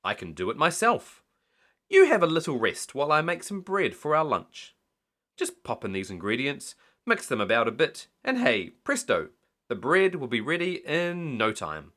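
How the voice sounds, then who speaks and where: A man's voice: mid-range voice, huge pitch swings, moderate pace, fairly bright tone, voice pushed up. A man voicing a stubborn, blustery older male lighthouse keeper in a storybook, exclaiming and grumbling. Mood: keen, confident.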